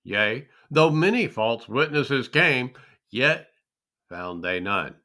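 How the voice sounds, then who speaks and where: A man giving a solemn, deliberate and emphatic dramatic reading of scripture. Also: mid-range voice, expressive, pushed and bright. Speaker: scripture reader.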